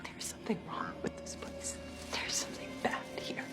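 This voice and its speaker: A woman's voice: normal speed, in a whisper, close to an everyday voice. An actress playing a frightened, haunted young mother in a horror film trailer, desperate and insistent. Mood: haunted, urgent. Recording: film trailer under loud music. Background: loud music.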